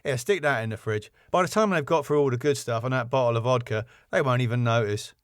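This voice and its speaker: A man's voice: mid-range voice, fast, clean tone, no echo, natural voice. A laid-back British lad of a sitcom, played by a man reading aloud. He is matey, blunt and cheeky, with very dry, close sound. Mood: breezy, cheeky.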